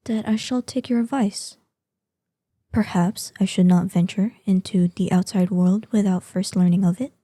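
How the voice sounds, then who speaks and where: A woman playing an ancient, proud and curious female dragon in an audio roleplay, speaking softly in formal, old-fashioned phrases. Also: medium pitch, slightly rough, no echo, studio quality. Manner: formal, archaic, deliberate phrasing. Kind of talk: scripted audio roleplay addressed to the listener.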